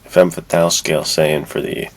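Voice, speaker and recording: Very deep-voiced man, casual and opinionated. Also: little pitch movement, normal speed. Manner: conversational, slangy, opinionated. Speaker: podcast co-host. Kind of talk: casual film and book discussion.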